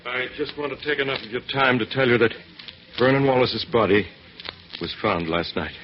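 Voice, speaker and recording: A man's voice: medium pitch, moderate pace, lightly acted. An actor playing an uneasy, treacherous businessman in an old radio drama, hesitant and formal. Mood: guarded. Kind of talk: 1940s radio acting. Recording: muffled, noisy old radio recording.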